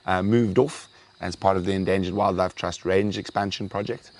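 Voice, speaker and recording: A man's voice: low voice, moderate pace. A young man hosting a live wildlife stream, chatting casually and saying he is losing his voice. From South Africa. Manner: chatty, reads out viewer thanks, breaks into excited exclamations. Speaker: wildlife show host.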